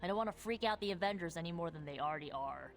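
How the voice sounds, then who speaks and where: A woman's voice: lowish voice, animated, very fast, faint music behind. A woman playing a cocky, slangy young rebel superheroine in a video game, talking casually. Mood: breezy, confident.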